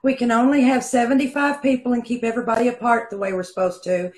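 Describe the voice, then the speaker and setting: A woman's voice: fairly high pitch, fairly even intonation, quick pace, a little mellow. Southern woman in an echoey room. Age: middle-aged. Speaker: troupe member making announcements. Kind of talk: casual announcements. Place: room at home, on video call. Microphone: video call microphone. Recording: roomy, echoey video call.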